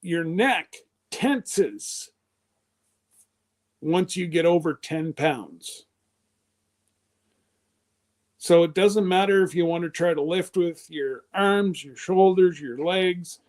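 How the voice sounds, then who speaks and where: A man's voice: high voice, takes it slowly, a little mellow. A man in his fifties hosting a live stream, chatting casually in a loose, rambling way. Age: 50s.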